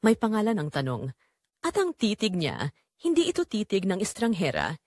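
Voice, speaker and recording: A woman's voice: medium pitch, wildly swooping pitch, moderate pace, a little mellow, no room sound, clean studio sound. A woman reading a scripted first-person drama narration in an emotive, dramatic way.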